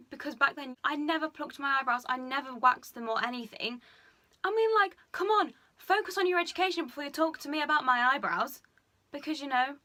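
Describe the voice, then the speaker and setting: A young woman talking frankly to camera in a personal vlog, chatty and full of fillers, speaking a little lower than she usually does. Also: pushed and bright. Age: young adult. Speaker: vlogger. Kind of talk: candid personal confession.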